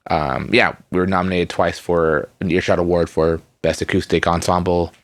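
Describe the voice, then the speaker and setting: Laid-back guest musician, man in his thirties, lowish voice, dry close sound. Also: normal speed, dry recording. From Redmond, Washington. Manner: relaxed, rambling, frequent fillers and restarts, laughs easily. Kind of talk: casual interview.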